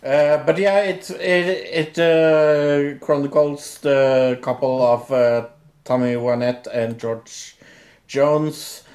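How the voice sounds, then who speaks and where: Laid-back podcast co-host, a man with a mid-range voice, slow and halting, teasing. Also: medium pitch, darkish tone. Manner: slow, hesitant, teasing, with many restarts. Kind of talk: casual TV review chat.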